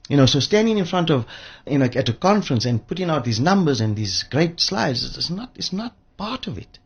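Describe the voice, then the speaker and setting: A man speaks as an expert guest in a radio interview. His voice is husky and swings widely in pitch as he makes emphatic, repeated points. The sound is somewhat dull and lo-fi. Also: very animated, fairly quick. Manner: emphatic, repeats phrases for effect.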